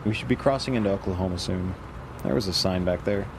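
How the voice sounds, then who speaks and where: An actor playing a cautious, worried man surviving on the road in an audio drama, with a husky voice and wary, questioning delivery. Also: low voice, quick pace, noisy background, lightly acted. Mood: casual. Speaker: supporting lead actor. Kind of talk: naturalistic audio drama dialogue.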